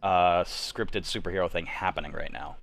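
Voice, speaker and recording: A man's voice: low voice, steady tone, normal speed, creaky, fairly bright tone. A young adult man chatting casually and knowledgeably among friends. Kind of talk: casual informed fan chat.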